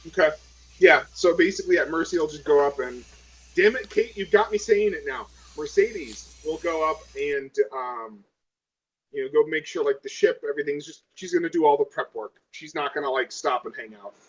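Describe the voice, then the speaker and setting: A man's voice: a little high, animated, normal speed, breathy edge, low-quality recording. A man playing a tabletop role-playing game, casually describing his character's actions and thinking aloud hesitantly.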